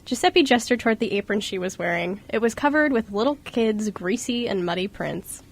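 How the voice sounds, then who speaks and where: A woman's voice: medium pitch, expressive, fairly quick, creaky. A woman reading scripted story narration for an amateur radio play.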